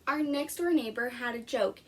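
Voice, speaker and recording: Woman reading as an anxious little boy, high-pitched. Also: normal speed, slight background noise, natural voice. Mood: alarmed. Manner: expressive storybook read-aloud. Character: anxious little boy narrating his literal-minded worries.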